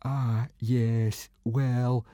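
A man's voice: mid-range voice, lively intonation, moderate pace, a little mellow, dry recording, clean studio sound, voice pushed up, natural voice. A man voicing a timid, miserable young Viking boy who stammers and mumbles apologies.

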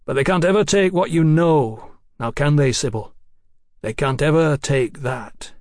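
A man's voice: on the high side, normal speed, darkish tone, no room sound, studio quality, natural voice. A man voicing a cocky, bossy young Victorian street rogue in an audiobook, with brash working-class slang. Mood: bitter, earnest.

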